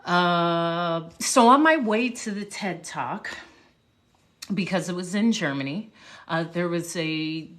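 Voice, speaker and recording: Woman speaker, casual livestream chat, slightly low voice, unhurried and reflective. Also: expressive, a bit bright. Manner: unhurried, reflective, talks with pauses and restarts. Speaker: livestream host, motivational speaker. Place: home livestream. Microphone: phone or webcam mic.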